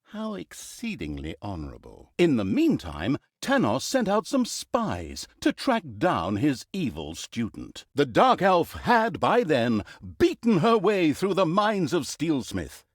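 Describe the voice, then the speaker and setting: Fantasy game narrator, male: pompous, sardonic, mock-epic, hugely animated delivery on a higher-set voice. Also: measured pace, hardly any pauses, no echo, studio quality, exaggerated voice. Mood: grandly wry. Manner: wry, mocking, grandiose, with swooping emphasis. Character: sardonic, pompous storyteller of a fantasy villain's campaign.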